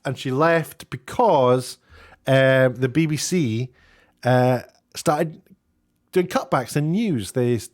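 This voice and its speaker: A British man giving casual opinion commentary in a sarcastic, mocking way, sounding exasperated and incredulous. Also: medium pitch. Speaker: YouTube commentator.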